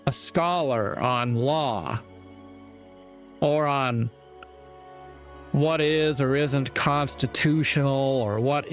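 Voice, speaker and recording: An American man giving a reflective opinion monologue in a measured, deliberate way, with short phrases and pauses. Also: mid-range voice. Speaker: commentator.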